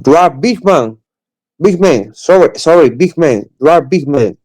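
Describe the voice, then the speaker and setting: Friendly man in halting English. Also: fairly high pitch, expressive, a little mellow, poor audio. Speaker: video chat stranger. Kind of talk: casual chat.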